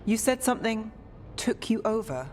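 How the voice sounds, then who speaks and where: An actress playing a skeptical, level-headed investigator companion in a video game, analytical and cautious. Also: female voice, a little high, big pitch swings, moderate pace, a little mellow, a little hiss or hum. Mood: concerned. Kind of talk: video game cutscene acting. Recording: game dialogue over faint music.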